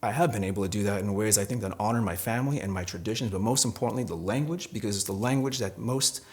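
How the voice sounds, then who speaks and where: A man from Arizona, a poet, reading and talking about his own work. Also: lowish voice. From Arizona, United States. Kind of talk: poetry reading and commentary on own poem.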